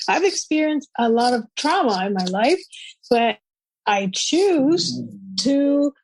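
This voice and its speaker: A woman's voice: high-pitched, animated, slow pace, darkish tone, dry recording, poor audio. A woman in her forties from Puerto Rico, a podcast guest, speaking in an upbeat, encouraging way. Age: 40s. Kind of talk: casual conversation.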